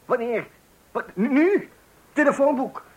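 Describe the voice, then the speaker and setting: A man's voice: very high-pitched, expressive, slow pace, darkish tone, husky, full character voice. An actor playing a scatterbrained, stammering expectant father in a radio comedy. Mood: panicky, flustered. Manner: stammering, repeating himself, easily muddled. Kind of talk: Dutch radio comedy drama acting. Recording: old radio play recording.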